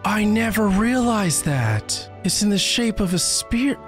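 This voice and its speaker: A man's voice: high-pitched, animated, measured pace. A man in his thirties giving casual, joking, wry reaction commentary as he livestreams a game. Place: indoor streaming setup. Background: game music.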